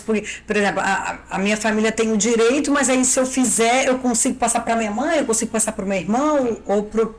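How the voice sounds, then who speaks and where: A Brazilian woman hosting a podcast interview, asking curious follow-up questions and affirming often. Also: fairly high pitch. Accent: Brazilian Portuguese. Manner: curious, asks follow-ups with many affirmations. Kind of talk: conversational interview.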